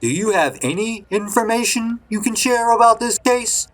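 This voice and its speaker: A man playing a brisk, impatient TV news reporter in a sci-fi audio drama. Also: sits high, fairly bright tone. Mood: skeptical.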